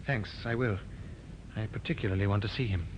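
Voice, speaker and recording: A man's voice: brisk, natural voice. An actor playing a polite, uneasy young male visitor in an old radio drama. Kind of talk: 1950s radio acting. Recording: muffled old radio with rumbling hiss.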